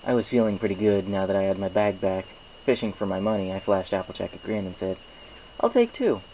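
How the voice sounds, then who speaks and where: A man with a fairly low voice narrates a piece of fiction. He reads from a script as an amateur storyteller, with faint noise in the home recording. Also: little pitch movement, fast talker, warm tone, low-quality recording. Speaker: fan fiction reader.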